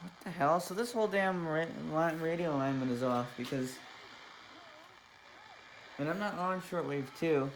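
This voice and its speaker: A man's voice: a little high, normal speed, long pauses. Man muttering over noisy radio static. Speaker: radio hobbyist vlogger. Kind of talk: casual, unscripted demonstration commentary. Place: small indoor room at a workbench.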